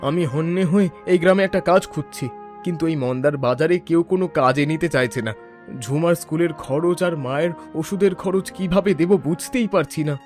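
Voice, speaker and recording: A man's voice: a little high, brisk, faint music behind, close to an everyday voice. A man voicing an earnest, talkative young village man in a cartoon drama. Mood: worried. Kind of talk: cartoon voice acting.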